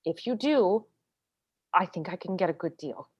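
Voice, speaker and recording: A woman's voice: lowish voice, lively intonation, moderate pace, muffled recording. A woman acting an exasperated, combative military defense lawyer in a stage play read-through, pressing hard with sarcastic questioning. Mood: frustrated.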